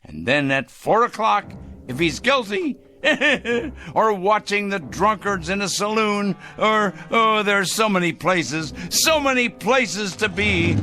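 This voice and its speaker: High-voiced, wildly animated paranoid crank. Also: male voice, takes it slowly, heavily acted. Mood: gleeful scheming. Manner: ranting, excitable, muttering and crooning to a pet. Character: fanatical, paranoid, self-righteous male crank obsessed with punishing evildoers. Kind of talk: theatrical radio drama acting.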